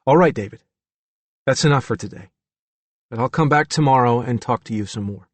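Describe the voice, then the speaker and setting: A man voicing a gentle, probing woman interviewer, patient and reassuring, in an audiobook story. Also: mid-range voice, fairly quick, dry recording, natural voice. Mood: gentle concern.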